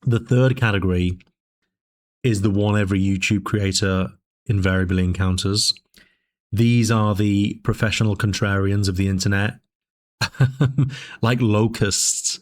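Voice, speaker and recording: A man hosting his own political commentary channel, talking through his points in a measured, discursive way with frequent fillers and restarts, his voice a little dry. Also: low voice. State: dry voice, sipping tea. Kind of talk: casual spoken commentary.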